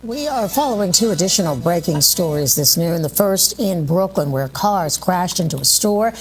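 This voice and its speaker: A woman's voice: lowish voice, lively intonation, moderate pace, over music, poor audio. A woman anchoring television news, reading scripted breaking stories.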